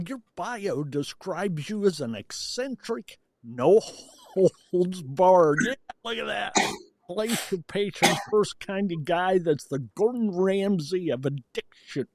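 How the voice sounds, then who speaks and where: A man's voice: sits high, a little mellow, no room sound. A man hosting a podcast, giving introductions and asking interview questions. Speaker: podcast host.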